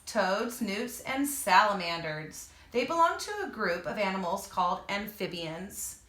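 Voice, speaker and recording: A woman reads an informational book aloud for children, speaking to her young listeners directly and pausing to explain as she goes. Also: mid-range voice, lively intonation, bright, forceful tone. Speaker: reader and teacher for children. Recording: echoey room.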